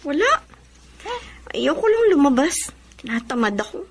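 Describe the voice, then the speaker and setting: A proud, jobless young woman in a radio drama. Her voice is very high and swings widely in pitch as she whines and complains sulkily. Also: big pitch swings, normal speed, darkish tone, slight background noise. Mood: glum. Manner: whiny, complaining. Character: sulky, proud young woman, jobless college graduate daughter.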